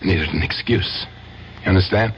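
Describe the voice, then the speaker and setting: An actor playing a brash, possessive acquitted defendant in a 1970s radio drama, with slangy, pushy, familiar talk. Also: male voice, low-pitched, moderate pace, gravelly. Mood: brooding. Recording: muffled old radio recording, heavy noise.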